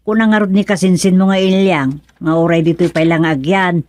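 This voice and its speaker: A woman with an Ilocano accent playing a chiding, gossipy village woman in a radio comedy drama, with a lively, nagging way of talking. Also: fairly low pitch, brisk, dry recording, natural voice.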